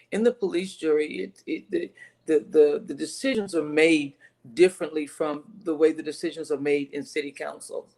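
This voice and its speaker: Woman, middle-aged, low-pitched, earnest, emphatic, conversational interview guest, video call. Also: darkish tone. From Texas, United States. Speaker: interview guest, city council candidate.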